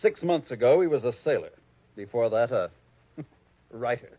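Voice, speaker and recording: An actor playing a prim, snobbish, scheming heir who speaks precisely and sarcastically, in 1940s radio acting on a dull lo-fi old radio recording. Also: male voice, mid-range voice, animated, moderate pace, no room sound, lightly acted. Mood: haughty.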